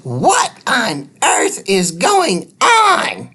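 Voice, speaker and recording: A man voicing a bossy neighbour woman in a children's storybook, booming and snorting as they scold. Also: wildly swooping pitch, drawn-out words, pushed and bright, slightly rough, heavily acted. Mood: angry.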